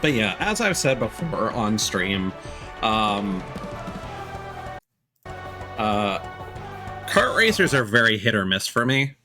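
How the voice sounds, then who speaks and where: Man streaming with animated pitch over loud music. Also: slow pace, long pauses. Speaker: video game streamer. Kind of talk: casual live stream game commentary. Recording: music playing behind the voice. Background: video game music.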